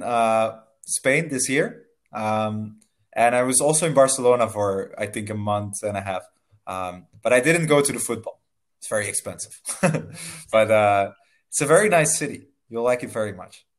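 A man's voice: mid-range voice, lively intonation. Young man tutoring on a headset. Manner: friendly, laughs often, slow careful phrasing. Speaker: online English tutor. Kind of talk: casual video-call lesson. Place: small room indoors.

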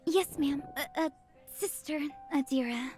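A woman's voice: high-pitched, measured pace, a little mellow, soft background music. A woman playing a timid, polite young girl in a game, with a hesitant, meek manner. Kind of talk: visual novel voice acting.

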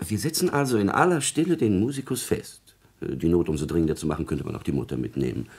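A man acting a servile, calculating court secretary in a 1950s German radio drama, speaking in a deliberate, insinuating, deferential way with a husky voice. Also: sits low, expressive, a little mellow, exaggerated voice. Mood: cold, calculating.

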